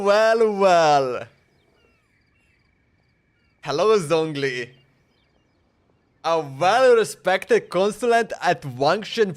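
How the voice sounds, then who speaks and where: High-voiced, slow-talking male game streamer. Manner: slangy, reactive, drawling interjections. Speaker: livestreamer. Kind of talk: casual gaming livestream commentary.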